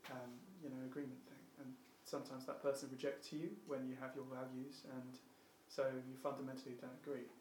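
A man's voice: mid-range voice, level tone, brisk, hardly any pauses, darkish tone, slight background noise. A man thinking aloud in a group discussion, hesitant, with many fillers and restarts. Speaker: discussion participant. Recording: roomy echo.

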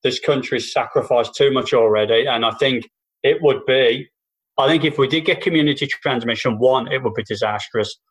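A man in the middle of his pitch range co-hosts a podcast, speaking in a casual conversational way. He rambles through long sweary runs, stumbling over repeated false starts. He sounds like a stand-up comic chatting offhand. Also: normal speed, poor audio. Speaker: podcast co-host and stand-up comedian. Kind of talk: casual political chat. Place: New Zealand.

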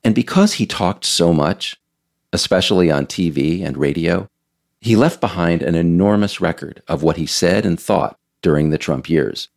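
A man hosting a podcast series, reading scripted narration in a measured, emphatic, explanatory way. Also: sits low, creaky, darkish tone. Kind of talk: scripted narration, audio essay read aloud.

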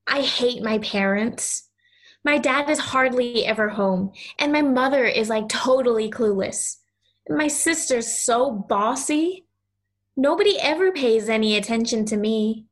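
Woman playing a high-voiced, stubborn young girl. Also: a little slow. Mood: sulky, lonely. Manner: childlike, earnest, insistent. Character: lonely, stubborn, possessive ten-year-old girl. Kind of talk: audio play acting.

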